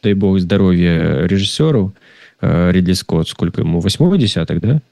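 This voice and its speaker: A man giving a casual film review in conversation, speaking in a halting, rambling way and often repeating words. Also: low-pitched, lively intonation, vocal fry, a little mellow, no room sound. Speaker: film discussion participant.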